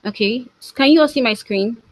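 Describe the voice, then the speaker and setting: A woman hosting an online training as program manager, introducing the session in halting short phrases. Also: fairly high pitch, no room sound. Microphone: video call.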